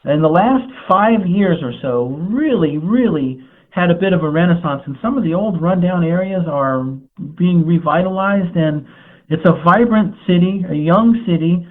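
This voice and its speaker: A man who works as an automotive journalist, answering interview questions in a relaxed, informative way. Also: sits high, moderate pace. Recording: tinny phone line.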